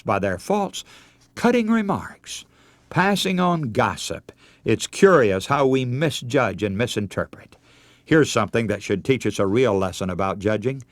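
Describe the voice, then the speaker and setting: A man's voice: mid-range voice, dry recording. An older man preaching a radio sermon, telling stories in an earnest way and calling his listeners friends.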